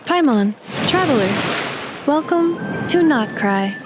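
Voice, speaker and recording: A woman's voice: sits high, expressive, slow pace, low-quality recording. Formal robot girl. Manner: formal, precise, computer-like phrasing. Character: polite young female robot, literal and formal. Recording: game audio with music behind.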